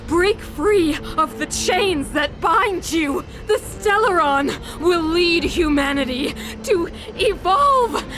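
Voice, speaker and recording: A woman's voice: extremely high pitch, expressive, takes it slowly, hardly any pauses, heavily acted. A woman playing an imperious, fanatical mother and ruler turned villain in a video game, speaking in grandiose, theatrical oratory. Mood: fanatical, exalted. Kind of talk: dramatic video game voice acting. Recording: orchestral game music behind voice.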